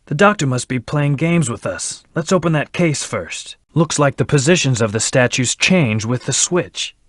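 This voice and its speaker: A man's voice: mid-range voice, expressive, moderate pace, no echo, studio quality, natural voice. A man voicing a brooding, terse hedgehog antihero in a video game, with a serious, clipped, commanding delivery. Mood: brooding, measured. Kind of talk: video game character voice lines.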